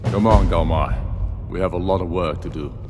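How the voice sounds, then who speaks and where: An actor plays a proud, scornful warlord in a fantasy game. His very low, commanding voice rings through a long hall reverb. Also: moderate pace, music in the background, natural voice. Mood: resolved. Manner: commanding, sardonic. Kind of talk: fantasy video game voice acting.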